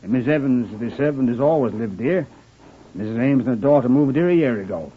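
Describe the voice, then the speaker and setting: An actor playing a plain-spoken village chief constable with a British accent, matter-of-fact and deferential, in an old radio drama. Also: male voice, medium pitch, expressive, brisk, natural voice. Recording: dull, faintly noisy old radio recording.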